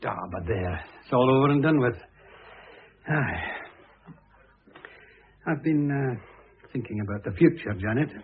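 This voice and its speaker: An actor playing a self-important, self-pitying middle-aged divorced husband, pompous and long-suffering, in radio drama style. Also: male voice, mid-range voice, expressive, unhurried, pauses often, a little hiss or hum, lightly acted. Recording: muffled lo-fi old radio recording.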